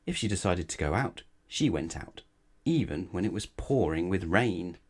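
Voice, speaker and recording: A man reading a children's bedtime story aloud in an expressive storytelling style. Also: low-pitched, moderate pace, slightly rough. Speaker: storybook reader.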